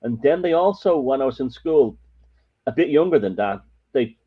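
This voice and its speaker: A man's voice: mid-range voice, animated, moderate pace, breathy edge. Irish man telling rambling stories. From Ireland. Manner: emphatic, rambling storyteller. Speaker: podcast guest. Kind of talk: casual storytelling chat. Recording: muffled lo-fi audio.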